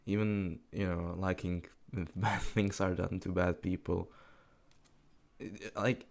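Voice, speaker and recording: A young man of eighteen talks unscripted over his gameplay footage. His voice sits low and gravelly, with plenty of vocal fry. He rambles, swears casually and laughs at himself. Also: level tone, slow, darkish tone. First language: Czech. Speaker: gaming commentary YouTuber.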